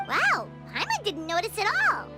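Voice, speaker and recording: Game companion: tiny childlike fairy girl, extremely high, swooping pitch, excitable, loud music behind. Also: normal speed, exaggerated voice. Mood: amazed. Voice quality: childlike, squeaky. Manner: excitable, refers to self in third person. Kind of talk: video game voice acting.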